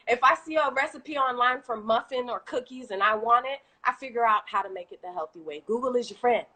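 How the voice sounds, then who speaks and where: A woman with a Haitian accent, a guest entrepreneur talking casually and at length on a live video stream, animated and quick to laugh. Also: a little high. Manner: talkative, animated, laughs often. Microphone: video call.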